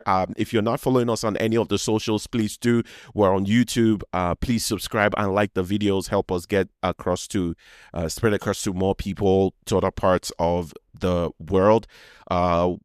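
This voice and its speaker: A man's voice: low voice, no room sound, clean studio sound. A man from Nigeria with a Yoruba accent, hosting a casual solo podcast in a rambling, conversational way that often doubles back mid-phrase. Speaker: podcast host.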